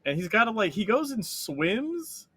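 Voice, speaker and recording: A man co-hosting a casual chat, telling stories in a rambling, animated way and swearing freely. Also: high voice, measured pace, slightly rough. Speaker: podcast co-host.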